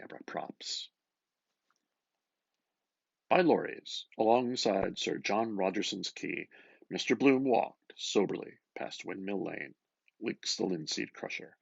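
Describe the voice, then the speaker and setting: A man giving an expressive, theatrical reading of literary prose, lingering over his phrases in a slightly muffled recording. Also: on the low side, creaky, slightly rough, dry recording. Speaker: reader, artist. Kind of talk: dramatic literary reading aloud.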